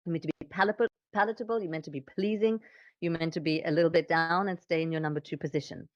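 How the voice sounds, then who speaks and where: Woman voice coach talking it through, kinda low voice, expressive, slightly muffled lo-fi sound. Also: fast talker, no room sound. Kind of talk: explanatory conversational talk on voice.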